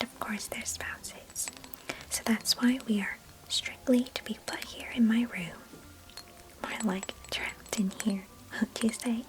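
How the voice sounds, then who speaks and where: A chatty young girl cousin, acted by a woman, whispers close to the microphone in an ASMR roleplay. Her voice is breathy and fairly high. She is sheltered, affectionate and gently mischievous, with quaint storybook phrasing. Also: lightly acted, low-quality recording. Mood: confiding, wistful.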